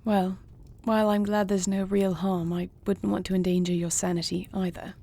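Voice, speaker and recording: Soft, mellow, husky queen played by a woman, courtly and tender, dry wit, intimate fantasy audio roleplay. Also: mid-range voice, level tone, moderate pace, lightly acted. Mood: curious, caring. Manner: formal, courtly phrasing, soft and affectionate. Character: gentle, poised dragon queen, newlywed, tender and dryly witty. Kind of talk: intimate audio roleplay acting, addressed to the listener.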